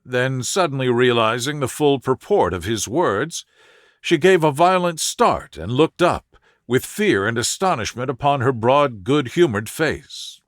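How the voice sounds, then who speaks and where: Soothing male narrator with expressive intonation. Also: medium pitch, dry recording, clean studio sound. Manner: soothing, measured. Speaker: audiobook narrator. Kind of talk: scripted sleep-story narration.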